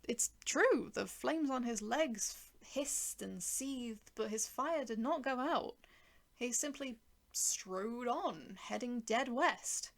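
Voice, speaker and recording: A woman's voice: on the high side, animated, takes it slowly. A woman narrating a first-person short story and voicing its dialogue, with a wry, sardonic manner. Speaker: writer and narrator.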